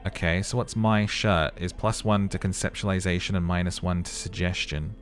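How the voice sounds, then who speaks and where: A man's voice: sits low, steady tone, moderate pace, soft background music. A man giving casual gaming commentary as host of a playthrough, muttering to himself as he plays. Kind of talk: playthrough commentary with read-aloud game narration.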